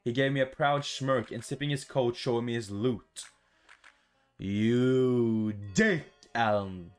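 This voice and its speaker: A man reading a visual novel aloud for a gaming video in a casual, expressive, sweary way, adding his own playful reactions. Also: mid-range voice, slow pace, smooth, faint music behind.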